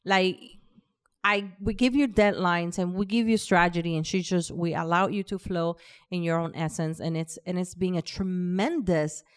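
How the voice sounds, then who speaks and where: A woman's voice: lowish voice, steady tone, moderate pace, smooth. A woman with a Latin American Spanish accent hosting a podcast, chatting casually and heaping praise on her guest. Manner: effusive, warm praise with frequent laughs. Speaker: podcast host. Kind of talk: casual interview chat.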